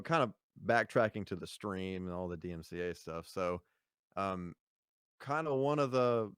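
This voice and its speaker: Man, deep voice, resonant, casual rambling podcast chat. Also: clear, resonant tone, dry recording. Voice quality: low voice. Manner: relaxed, rambling with fillers and laughs. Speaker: podcast guest, singer.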